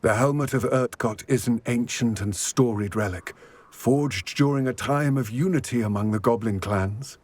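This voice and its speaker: A man voicing a solemn, measured male goblin ally in a video game, speaking in a formal, grave and deliberate way with a husky voice. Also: mid-range voice, a little mellow, lightly acted.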